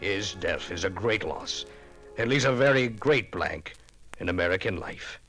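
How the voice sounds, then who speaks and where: An actor playing an elderly former American president giving a solemn, formal tribute in 1950s radio documentary style. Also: male voice, low-pitched, animated, unhurried, a bit bright, full character voice, poor audio. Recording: 1950s radio recording, music underneath.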